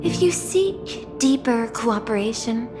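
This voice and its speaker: A woman playing a refined, calculating machine leader in a video game, speaking formally and analytically with calm courtesy. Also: on the high side. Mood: composed, courteous. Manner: measured, formal, analytical wording. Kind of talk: scripted game voice acting. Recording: long reverb, background music.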